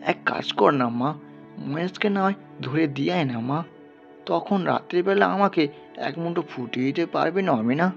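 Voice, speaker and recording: A man acting a sorrowful, gravelly peasant father. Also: fairly high pitch, animated, dull audio. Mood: sheepish pleading. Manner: halting, murmured, pleading. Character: poor, sorrowful peasant father, tender toward his bull. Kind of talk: dramatized literary audio story. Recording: band-limited, music underneath.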